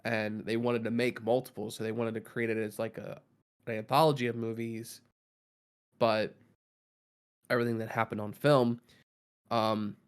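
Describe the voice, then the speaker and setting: Man, gravelly voice, podcast co-host, casual, rambling explainer, many ums. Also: medium pitch.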